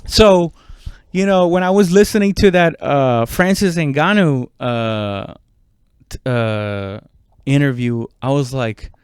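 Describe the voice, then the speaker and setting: A man talking in a casual, rambling podcast monologue, halting and conversational, with frequent swearing. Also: fairly high pitch, smooth, no room sound, clean studio sound. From immigrant, moved abroad at seven. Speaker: stand-up comedian podcast host.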